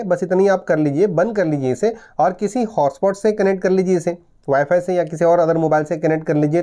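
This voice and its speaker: Mellow-voiced man giving a step-by-step tutorial. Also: fairly high pitch. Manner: repetitive step-by-step explaining. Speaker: tech tutorial YouTuber. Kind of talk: explanatory tutorial talk to viewers.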